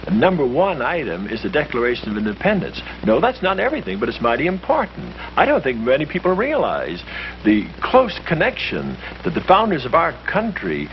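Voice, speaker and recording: An earnest man lectures with a lively, swooping delivery that rises and falls as he stresses his points. He sounds like an old 1950s film reel, muffled and dull, with a faint hiss under him. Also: medium pitch. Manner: earnest, emphatic, explanatory. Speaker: lecturer explaining history to friends. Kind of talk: scripted 1950s film dialogue.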